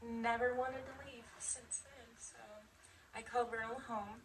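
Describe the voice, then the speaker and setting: A woman in her fifties with an American accent, chatting casually and haltingly as she tells her own story in an interview. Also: a little high, flat delivery, crowd noise. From United States, Nevada and Michigan. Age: 50s. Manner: chatty, often chuckling, halting. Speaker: interviewee, military veteran. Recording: distant mic, faint background noise.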